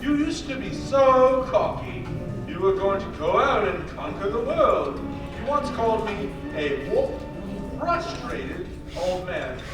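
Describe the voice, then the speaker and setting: Bitter old male banker villain, acted by a man, high forceful voice, radio-play menace, echoey distant hall. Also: very animated, slow, full character voice. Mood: scornful. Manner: scornful, taunting. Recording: echoey hall, distant mic, faint music.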